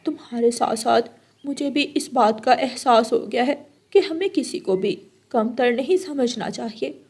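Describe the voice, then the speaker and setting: A woman's voice: fairly high pitch, darkish tone, husky. A woman voicing a remorseful, loving bird mother in a children's cartoon, earnest and moralising. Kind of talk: children's cartoon voice acting in Hindi.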